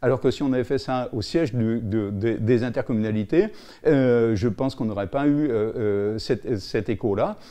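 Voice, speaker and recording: Mellow-voiced man in an interview. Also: mid-range voice, normal speed. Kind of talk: interview testimony, spontaneous.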